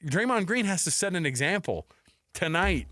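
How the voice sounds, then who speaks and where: Bright, forceful American man talking sports. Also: high-pitched, moderate pace, no echo, clean studio sound. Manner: animated, opinionated, rhetorical questions, restarts mid-thought. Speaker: sports radio co-host. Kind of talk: unscripted sports talk banter.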